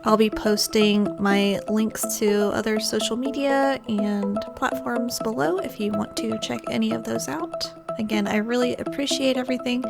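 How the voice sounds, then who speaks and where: A woman's voice: fairly high pitch, fairly even intonation, normal speed, vocal fry, hardly any pauses, over loud music. A woman hosting a let's play with casual, chatty gameplay commentary.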